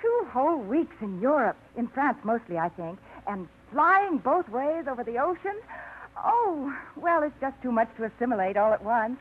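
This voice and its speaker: Brief: an eager, flustered small-town woman, high and lively voice, tinny old radio. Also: normal speed. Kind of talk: 1950s radio drama acting. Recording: tinny 1950s radio broadcast, faint hiss.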